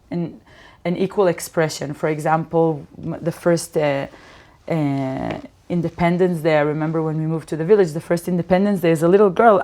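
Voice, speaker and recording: A woman from Israel, a guest comedian, telling lively stories in a casual interview and acting out quoted lines. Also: low voice. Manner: animated storyteller, acts out quotes.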